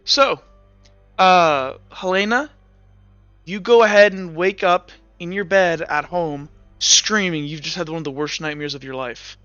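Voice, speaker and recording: A man's voice: high-pitched, unhurried, a bit bright, clean tone, no echo. A young man as game master, narrating the scene in second person with hesitant fillers and restarts. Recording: slightly muffled, with faint music.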